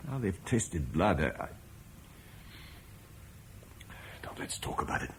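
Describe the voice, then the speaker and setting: An actor playing a gentle, troubled Roman landowner and father, speaking reflectively in a vintage radio drama. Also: deep voice, big pitch swings, brisk, a little hiss or hum. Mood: shaken. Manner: reflective, soft-spoken, haunted.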